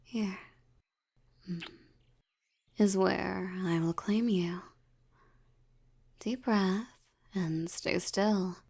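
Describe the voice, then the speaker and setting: A woman playing a possessive, seductive vampire in an intimate audio roleplay, teasing and commanding, with a breathy voice that often drops toward a whisper. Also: mid-range voice, a little mellow, heavily acted. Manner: slow, teasing, commanding, condescending. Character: dominant, possessive, seductive wealthy female vampire.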